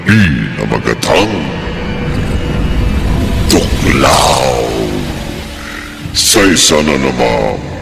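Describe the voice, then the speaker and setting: A man with a gravelly voice narrating a horror radio drama in an ominous, theatrical, slow style. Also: rumbling low, very animated, loud music behind the voice.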